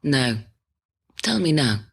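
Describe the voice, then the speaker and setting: Terse, slow-speaking soldier woman. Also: extremely low pitch, big pitch swings, vocal fry, breathy edge, full character voice. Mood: cold, demanding. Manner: terse, demanding. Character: tough, insistent female soldier interrogating a man. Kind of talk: science fiction television acting.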